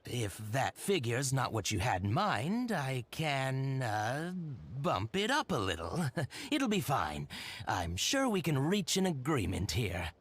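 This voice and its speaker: An actor playing an accommodating, flustered merchant in a video game, with a hesitant, stammering, placating delivery. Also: male voice, mid-range voice, wildly swooping pitch, takes it slowly, fairly bright tone, a little hiss or hum, exaggerated voice. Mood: nervously obliging. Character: flustered, accommodating male merchant, eager to haggle.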